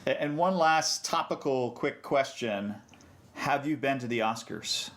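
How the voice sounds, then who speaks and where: A man with a medium-pitched voice hosts a podcast. He chats casually with his guest, putting questions informally and responding as he goes. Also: unhurried, fairly bright tone. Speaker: podcast host. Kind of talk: casual interview chat.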